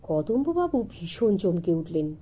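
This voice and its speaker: A woman narrating a scripted children's story in an expressive, dramatic storytelling manner. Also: on the low side, a little slow, breathy edge. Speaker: audiobook narrator.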